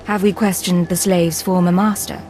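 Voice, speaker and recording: A woman's voice: on the low side, steady tone, normal speed, darkish tone, faint music behind, natural voice. An actress playing a tough, sardonic female secret agent in a video game, with a dry, cool, menacing manner. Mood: cold, threatening. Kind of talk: scripted video game dialogue.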